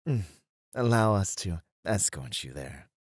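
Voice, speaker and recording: A man plays a flirty male incubus in an ASMR roleplay, low-voiced and slow to speak. His lines swing up and down as he lavishes teasing devotion on his master. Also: slight background noise. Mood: smooth, teasing. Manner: teasing, drawn-out, effusive flattery.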